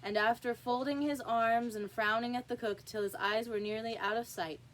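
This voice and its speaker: A woman's voice: fairly high pitch, fairly even intonation, normal speed, poor audio. A woman reading a classic story aloud as scripted audiobook narration. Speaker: audiobook reader.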